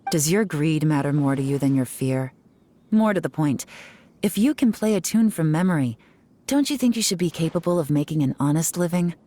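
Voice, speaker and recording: A woman's voice: low-pitched, expressive, a little fast, dark tone. A woman voicing a stern, self-assured female investigator in a video game, commanding, composed and wry. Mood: firm, wry. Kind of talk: dramatic video game voice acting.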